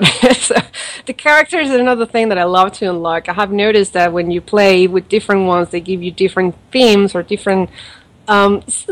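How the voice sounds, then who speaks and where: A woman with an accent, chatting casually on a podcast and rambling with fillers and laughs as she reviews a mobile game. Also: fairly low pitch. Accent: non-native accent, origin not stated. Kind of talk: casual chat.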